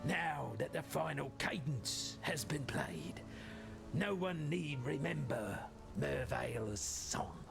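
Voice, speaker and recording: An actor playing a roguish pirate leader in a video game, teasing and wry with flowery phrasing. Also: male voice, a little high, animated, slow pace, gravelly, full character voice. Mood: amused, grateful. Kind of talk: video game character dialogue. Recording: music behind the voice.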